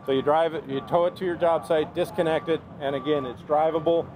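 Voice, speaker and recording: A man's voice: a little high, fairly even intonation, fairly quick. A man exhibitor casually explaining equipment at an outdoor trade show, with frequent ums and uhs. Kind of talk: product demo explanation. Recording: outdoor crowd noise.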